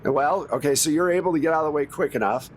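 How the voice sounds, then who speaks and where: A man's voice: a little high, quick pace. A man speaking as game master, improvising narration in the second person and explaining dice rules in a conversational way. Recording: noisy recording with clear background noise.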